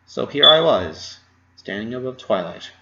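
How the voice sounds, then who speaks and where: A man's voice: mid-range voice, expressive, a little slow, vocal fry, highs cut off, noisy background. A man giving a theatrical, emotive dramatic reading of a first-person story. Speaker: amateur story reader.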